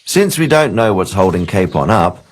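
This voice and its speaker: An actor playing an authoritative middle-aged nobleman in a video game, composed, measured and firm as he gives orders. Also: low voice, sing-song. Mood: firm, persuasive.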